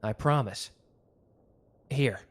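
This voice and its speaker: Man voicing a protective young mafia guy, soft, intimate, reassuring, mid pitch, ASMR roleplay. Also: drawn-out words. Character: protective young male mafia member, tender.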